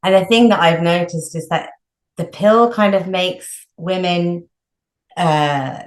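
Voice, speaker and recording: Low-voiced woman coach in a roomy space. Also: slow. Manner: chatty, frequent "like" and "you know" fillers. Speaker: women's health coach, guest. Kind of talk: casual explanatory advice. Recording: roomy echo.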